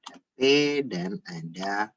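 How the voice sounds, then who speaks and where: A man's voice: sits low, steady tone, slow, husky, no room sound, lo-fi sound. A man giving an informal step-by-step tutorial, repeating himself and often adding small checking tags. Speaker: accounting tutor.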